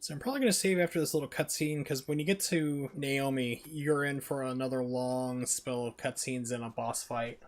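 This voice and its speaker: A man's voice: medium pitch, steady tone, normal speed, few pauses. A man casually commentating on a video game as he streams it live. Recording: noisy stream with game sound. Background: video game ambience.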